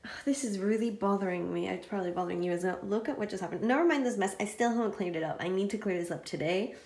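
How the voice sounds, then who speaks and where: A woman in her twenties talks casually into a phone held at arm's length in a small bedroom. She chats with lots of "like" and quick giggles. Her voice is a little croaky. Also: mid-range voice, darkish tone. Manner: chatty, lots of "like", giggly asides. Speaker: vlogger. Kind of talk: casual vlog chat.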